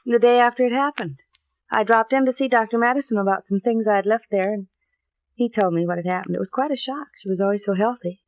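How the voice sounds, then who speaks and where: A woman's voice: fairly high pitch, quick pace, lightly acted. An actress playing a chatty, curious single woman in a 1950s radio drama, breezy and inquisitive. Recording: dull vintage radio recording.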